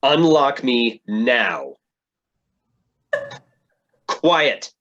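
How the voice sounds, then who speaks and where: An actor playing a boastful, captive male superhero in a staged play reading, with a pompous, halting delivery. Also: medium pitch, big pitch swings, fairly bright tone, dull audio, a little hiss or hum, full character voice. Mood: threatening.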